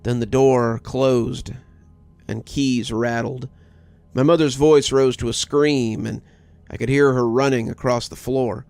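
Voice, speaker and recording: A man's voice: mid-range voice, steady tone, unhurried, gravelly, darkish tone, dry recording. A man reading a scripted first-person horror story as its narrator. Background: music.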